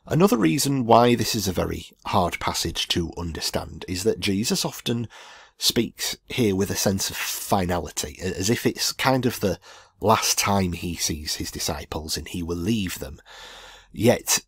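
Low husky male Bible teacher. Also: expressive, unhurried, dry recording. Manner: thinking aloud with frequent fillers and restarts. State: groggy voice. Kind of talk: casual Bible study lesson over slides.

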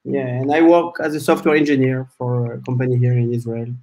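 Man in his 40s from france, tech talk over a video call, halting with fillers, small home room. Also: medium pitch, little pitch movement, mellow, slight background noise. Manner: measured, frequent "uh" fillers. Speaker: guest speaker, software developer. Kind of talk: conference talk presentation. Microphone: video call webcam.

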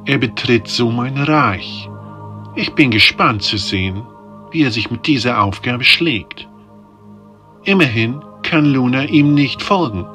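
A man voicing a calm, cautious sea god who speaks thoughtfully. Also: medium pitch, unhurried, soft background music.

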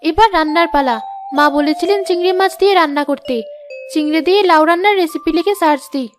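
A woman voicing female family characters in a scripted cartoon, with expressive, dramatic delivery. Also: extremely high pitch, fast talker, soft background music. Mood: anxious, self-talking. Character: women of a family cartoon: fussy aunt, anxious young bride.

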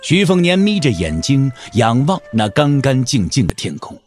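A man narrating an audio drama with dramatic, expressive scripted storytelling. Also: medium pitch, moderate pace, soft background music.

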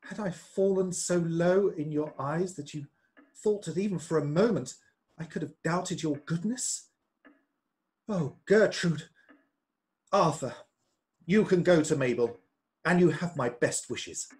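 An actor playing a proud, earnest upper-class politician and husband in a period radio drama, speaking formally and gravely. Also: male voice, on the high side, measured pace, pauses often, a little mellow, lightly acted. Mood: astonished, moved.

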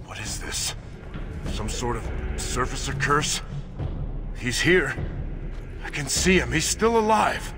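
An actor playing an anguished, protective dwarf warrior in a fantasy video game, earnest and emphatic. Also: male voice, medium pitch, big pitch swings, slow pace, long pauses, music in the background. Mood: anguished, worried.

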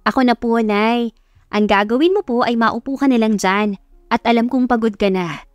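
A woman's voice: a little high, moderate pace, no room sound, soft background music, lightly acted. Woman playing an earnest, polite young college woman. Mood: earnest, caring.

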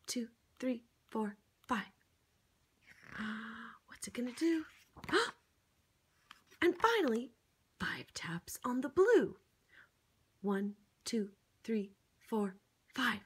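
Very animated, high-pitched woman reading to children. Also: breathy edge. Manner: theatrical, with exaggerated gasps and exclamations; encouraging, coaxing, addressing the child listener. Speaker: children's storybook reader. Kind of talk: interactive picture-book read-aloud for young children.

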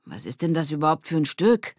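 A woman's voice: sits low, very animated, fairly quick, a little mellow, dry recording, studio quality. A woman voicing a blunt, casual schoolboy in a children's audiobook, in standard German. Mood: reluctant.

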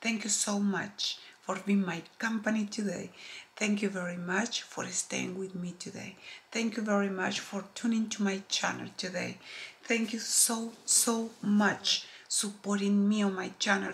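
A middle-aged woman from Chile with a strong Chilean Spanish accent, a makeup artist speaking slowly and softly to camera, often dropping to near-whispers. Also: mid-range voice, steady tone, gravelly. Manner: slow, soft-spoken, drops to near-whispers, earnest. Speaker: makeup artist and beauty vlogger. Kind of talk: makeup tutorial chat to camera. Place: home studio with shelves of products, indoors. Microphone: camera close-up, upper body framing.

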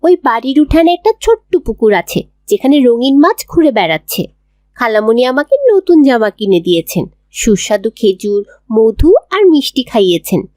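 Woman as a lively, high-voiced chatty schoolgirl. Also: normal speed, dry recording, clean studio sound. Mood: excited. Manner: animated, storytelling to a friend. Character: chatty, proud schoolgirl boasting happily to her friend. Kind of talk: children's cartoon voice acting.

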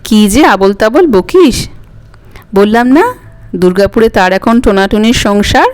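A woman's voice: medium pitch, animated, unhurried, natural voice. A woman voicing an elderly grandmother who is coy, wistful and fondly scolding in a dramatic story reading. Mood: mildly scolding. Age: older. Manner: coy, reminiscing, mildly snappish. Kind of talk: dramatic audiobook character reading. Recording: music under the voice.

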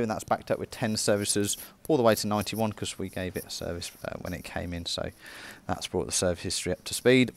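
British male car dealer, lowish voice, informal showroom walkaround, chatty sales delivery. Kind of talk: walkaround sales presentation, semi-casual commentary.

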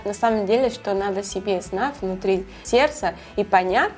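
A woman's voice: medium pitch, moderate pace, faint music behind. A young woman with a foreign accent, not a native speaker, chatting casually as she reacts. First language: not Russian. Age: young adult. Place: small studio, seated at table. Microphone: lapel.

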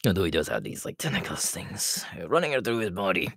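A man playing an inhuman, menacing, giggly killer clown in a horror roleplay, with a raspy, breathy voice that slips into whispers and a rambling, teasing manner. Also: deep voice, wildly swooping pitch, brisk, a bit bright, dry recording, clean studio sound, exaggerated voice. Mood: rambling, amused. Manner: rambling, teasing, laughing at himself, erratic swoops. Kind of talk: in-character horror roleplay monologue.

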